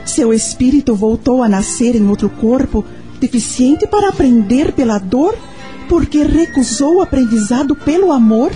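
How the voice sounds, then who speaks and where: A woman's voice: sits high, lively intonation, moderate pace, music in the background. An actress with a Brazilian accent playing a devout, earnest mother searching for answers in a radio soap opera. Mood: questioning. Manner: earnest, questioning. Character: devout, troubled young mother seeking answers.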